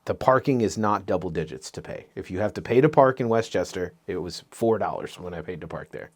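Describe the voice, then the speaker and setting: A man's voice: low voice, expressive, fairly quick, darkish tone. A young man co-hosting a casual podcast, teasing and argumentative in friendly debate. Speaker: podcast co-host. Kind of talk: casual chat.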